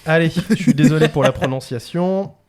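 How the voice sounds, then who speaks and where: A man's voice: on the high side, a crowd in the background. A man hosting a playful food quiz with chatty banter, carefully spelling out names and answer options. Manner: slowly enunciates dish names and answer options. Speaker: quiz host on a food show.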